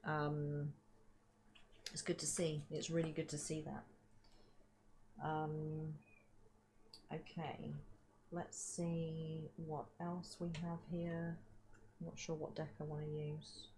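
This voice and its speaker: A low-pitched woman talks through a tarot reading in a loose, chatty way, filling pauses with um and like. Her voice is slightly hoarse and stays fairly level. A faint background hum sits under her. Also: little pitch movement, a little mellow, rough recording. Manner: chatty, full of um and like fillers. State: losing her voice. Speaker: tarot reader. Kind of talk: casual spiritual tarot reading.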